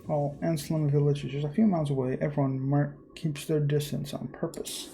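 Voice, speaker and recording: A man reading a first-person horror story aloud as a let's player, in a measured, theatrical way. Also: fairly high pitch, flat delivery, creaky, dark tone, slight background noise.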